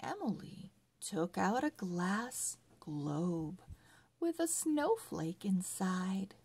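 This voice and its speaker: A woman reading a children's story aloud as a read-along narrator, with deliberate word-by-word phrasing. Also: mid-range voice, big pitch swings, a little mellow, breathy edge. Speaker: read-along reader for early readers.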